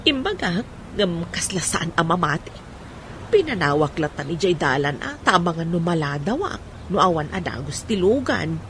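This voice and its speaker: A woman's voice: on the low side, big pitch swings, normal speed, fairly bright tone, slight background noise. A woman playing a devoted, proud mother from a poor village family in an Ilocano radio drama. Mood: proud, glad. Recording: music under the voice.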